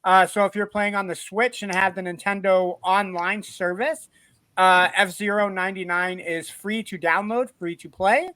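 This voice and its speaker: A man's voice: sits high, fairly even intonation, normal speed, pushed and bright, dry recording. A man co-hosting a podcast in casual, upbeat chat. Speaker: podcast co-host.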